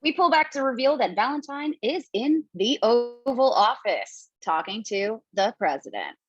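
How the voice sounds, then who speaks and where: A woman reads the stage directions in a table read, brisk and casual with a playful streak. Her voice is slightly husky and fairly high. The home mic is dull and dry. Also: very animated, no echo. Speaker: narrator reading stage directions.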